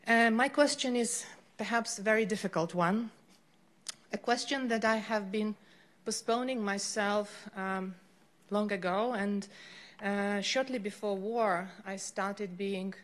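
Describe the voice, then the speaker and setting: A woman's voice: mid-range voice, fairly even intonation, measured pace. A woman from western Ukraine with a Ukrainian accent, asking a long formal question carefully and hesitantly. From western Ukraine, Lviv. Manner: careful, hesitant, with many fillers. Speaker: audience member asking a question.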